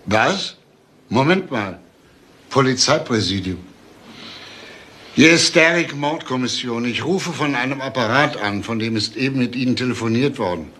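A man's voice: medium pitch, animated, normal speed, a bit bright, a little hiss or hum, close to an everyday voice. An actor playing a male homicide detective in a German crime radio play, questioning in a clipped, businesslike way. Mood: businesslike, urgent. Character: brisk, probing male police homicide detective.

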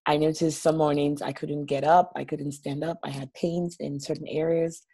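A woman's voice: sits low, level tone, moderate pace, warm tone, slightly rough. A woman in her thirties from Nigeria, a guest on a video call from her living room, telling her story openly in a chatty way with small laughs. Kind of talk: casual video-call interview. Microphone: laptop webcam on a video call.

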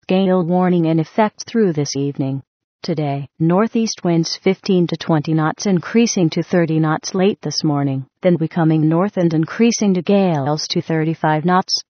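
Muffled, low-pitched synthetic woman announcer. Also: normal speed, no echo. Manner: mechanical, impersonal. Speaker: automated weather radio announcer. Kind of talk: synthesized weather bulletin reading. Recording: muffled lo-fi weather radio recording.

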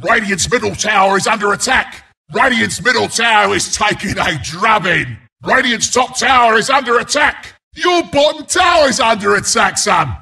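A mean, cocky porcupine brawler announcing a video game in a British accent. The voice is gravelly and pitched high for a male, swinging widely up and down. He barks it out in a blunt, taunting, rowdy way, with a hard, forceful edge. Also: animated, normal speed, exaggerated voice. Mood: urgent, rowdy. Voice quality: gravelly, raspy. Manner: taunting, rowdy, blunt, mock-sympathetic asides.

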